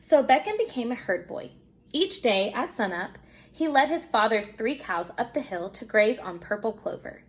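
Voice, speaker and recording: A woman with a fairly high voice reads a children's story aloud. She narrates expressively, as a teacher would for a class. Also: very animated, normal speed.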